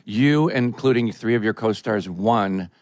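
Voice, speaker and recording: A man's voice: lowish voice, a little slow, darkish tone, no echo. Eager man host, dry close sound. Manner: eager, enthusiastic questions. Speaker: interview host. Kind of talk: casual interview chat.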